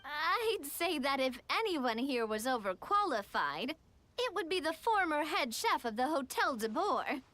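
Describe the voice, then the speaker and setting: Very high, animated little game sidekick. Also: female voice, a little slow, exaggerated voice. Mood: friendly. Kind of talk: video game dialogue.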